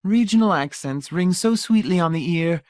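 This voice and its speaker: A man's voice: fairly quick, no room sound, studio quality, natural voice. A man doing a gushing, fussy society matron. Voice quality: high and gushy.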